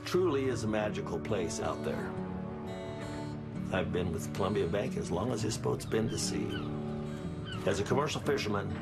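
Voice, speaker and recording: An older, seasoned fisherman played by a man gives a folksy, contented advert testimonial. His voice is on the low side, over loud guitar music. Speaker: commercial fisherman giving testimonial.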